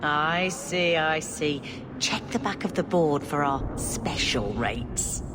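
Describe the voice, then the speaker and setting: A woman's voice: sits low, expressive, slow. An actress playing a weary, kindly working woman in a game, homely and guarded. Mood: businesslike. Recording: game dialogue over noisy ambience.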